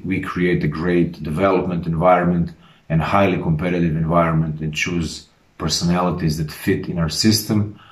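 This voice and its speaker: Man, late 30s, low voice, formal head coach addressing fans in a roomy, echoing space. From Croatia. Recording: roomy echo.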